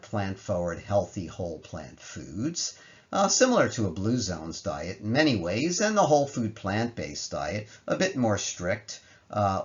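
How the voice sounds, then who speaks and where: A man's voice: on the low side, lo-fi sound. A man narrating an explanatory slide lecture in a conversational way, posing questions and answering them.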